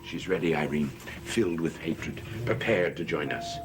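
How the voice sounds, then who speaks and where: An actor playing a suave, sly occult conspirator in a gothic soap opera, urbane and smoothly ironic. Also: male voice, extremely low pitch, normal speed. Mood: conspiratorial. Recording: old TV audio under loud music.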